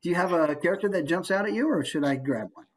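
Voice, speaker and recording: Low, husky, lively woman coaching a group. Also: fast. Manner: encouraging. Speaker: workshop teacher.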